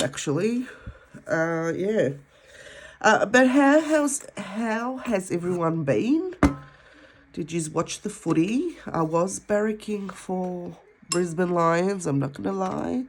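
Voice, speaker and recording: A woman's voice: fairly low pitch, a little hiss or hum. An Australian woman vlogging at home, nasal from a head cold, chatting in a rambling way while she counts cash. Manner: rambling, chatty, with many ums. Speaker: budgeting vlogger.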